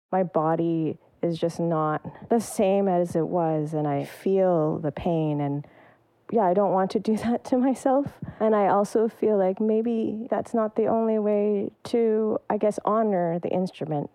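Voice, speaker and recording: A woman's voice: lowish voice, unhurried, dark tone, no echo, low-quality recording. A woman percussionist giving thoughtful, searching answers in an interview. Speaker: percussionist guest.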